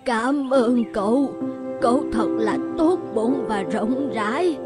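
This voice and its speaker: A woman's voice: a little high, slow. An actress voicing a humble, hungry old beggar woman in a cartoon. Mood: grateful. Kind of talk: animated cartoon dubbing. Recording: loud music behind the voice.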